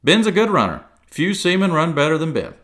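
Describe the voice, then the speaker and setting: A man's voice: mid-range voice, sing-song, a little slow, fairly bright tone, pitched above the performer's own voice. A man reading aloud and voicing a cunning, one-legged pirate cook who blusters in salty sea talk. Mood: blustering.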